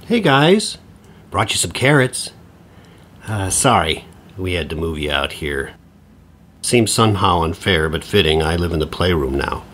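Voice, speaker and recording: A man's voice: deep voice, very animated, unhurried, long pauses, fairly bright tone, a little hiss or hum, exaggerated voice. An actor playing an exasperated, sarcastic, sweary middle-aged father in a podcast play. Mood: wry, weary.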